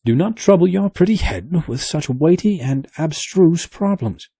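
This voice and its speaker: A man's voice: fairly high pitch, expressive, a little slow, mellow, husky, no room sound, studio quality, pitched above the performer's own voice, exaggerated voice. A man voicing an absent-minded, fussy elderly male professor who mutters kindly to himself.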